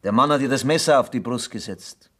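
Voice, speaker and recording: A man's voice: mid-range voice, a little fast, a bit bright, breathy edge. An actor playing a matter-of-fact countryman with a Bavarian accent in a German radio play. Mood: explaining.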